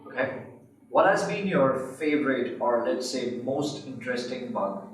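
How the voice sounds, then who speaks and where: A man's voice: mid-range voice, expressive, measured pace, slight background noise. Man asking questions from far off, very echoey. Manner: brief, plain questions. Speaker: interviewer. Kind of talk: reading interview questions.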